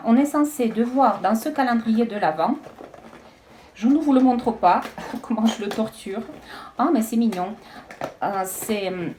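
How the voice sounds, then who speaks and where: A woman chatting casually as she unboxes beauty products, hesitant and halting with frequent restarts. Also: fairly high pitch, background noise. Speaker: beauty vlogger.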